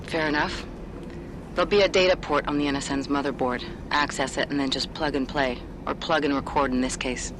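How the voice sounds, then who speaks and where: An actor playing a brisk, casual tech ally giving instructions in a video game. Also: male voice, mid-range voice, steady tone, lightly acted. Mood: brisk, wry. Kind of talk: video game cutscene acting. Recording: clear urban noise behind the voice.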